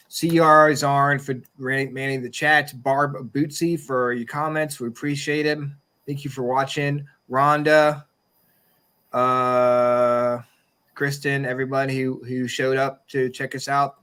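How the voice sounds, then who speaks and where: A man with an average-pitched voice hosts a podcast. He talks in a loose, casual way, rambling and joking as he goes. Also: little pitch movement, slow, fairly bright tone, clear, resonant tone. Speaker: podcast host. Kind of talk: casual chat, closing a show.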